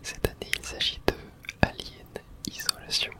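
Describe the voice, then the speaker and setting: A person whispering softly and gently, with no voice in it, close to the microphone, as the host of an ASMR game playthrough. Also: moderate pace. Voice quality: soft breathy unvoiced whisper. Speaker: video game streamer and host.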